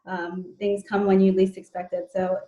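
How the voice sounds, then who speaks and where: A woman's voice: mid-range voice, flat delivery, moderate pace, warm tone. A woman hosting a casual interview in an encouraging, affirming way. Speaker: interview host, dance teacher. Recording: dull, echoey room sound.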